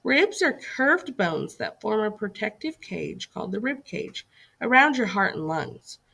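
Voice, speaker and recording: A woman's voice: mid-range voice, big pitch swings, unhurried, vocal fry, sharp tone. A woman teacher reading a scripted lesson aloud for young children in an instructive way, putting questions to her listeners.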